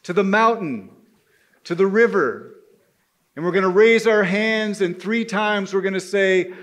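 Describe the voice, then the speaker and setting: A man with a somewhat high voice narrates a tale to a live audience. He is deliberate and dramatic, leaving pauses between phrases and leading his listeners through the story like a group guide. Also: a bit bright. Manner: deliberate, pausing, addresses listeners as brothers. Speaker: storyteller leading a men's spirituality gathering.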